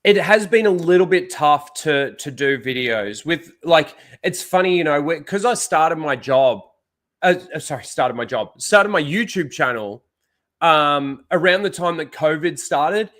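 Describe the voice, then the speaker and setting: A man's voice: on the high side, animated, measured pace, fairly bright tone. A young man with a blocked nose, hosting a casual livestream, rambling with lots of fillers and greeting people in the chat. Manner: rambling, filler-heavy, reads out chat names. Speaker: live stream host.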